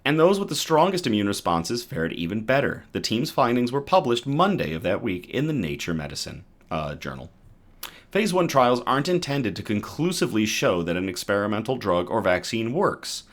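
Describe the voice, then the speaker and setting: A man's voice: mid-range voice, very animated, normal speed. A man hosting a good-news show, reading news articles aloud and breaking off for casual asides to his live chat. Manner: stumbles and restarts over technical terms.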